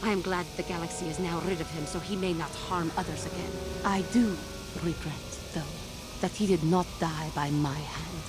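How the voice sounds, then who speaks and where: A woman's voice: fairly low pitch, fairly even intonation, over music, lightly acted. A woman voicing a Jedi torn by anger. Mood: reflective. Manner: halting, tearful then composed. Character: young woman Jedi fighting her anger. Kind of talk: video game voice acting.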